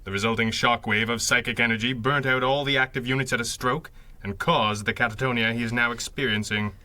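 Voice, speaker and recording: An actor playing a stern, secretive, commanding masked crime-fighter in a 1930s pulp radio drama, with clipped, formal, authoritative speech. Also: male voice, mid-range voice, steady tone, fairly quick, pushed and bright, no echo. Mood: firm, insistent.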